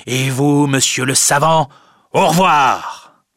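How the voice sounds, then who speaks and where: A man's voice: mid-range voice, slow pace, fairly bright tone, dry recording, studio quality, voice pushed up. A man voicing an angry old jailer, mocking and threatening, in a storybook reading. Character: angry old jailer, menacing, sarcastic father.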